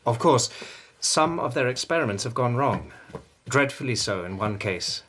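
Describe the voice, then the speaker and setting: Menacing court lord, medium pitch, sardonic, deliberate formal phrasing, radio play. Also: male voice, animated, a bit bright, breathy edge. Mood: intense, musing. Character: self-possessed, menacing Japanese palace lord with sardonic temper. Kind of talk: radio drama acting.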